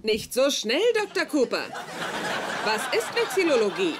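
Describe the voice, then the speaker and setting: An actress playing a playful, eager podcast sidekick in a dubbed sitcom, with exaggerated scripted enthusiasm. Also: female voice, on the high side, sing-song, unhurried, background noise. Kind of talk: dubbed German sitcom acting.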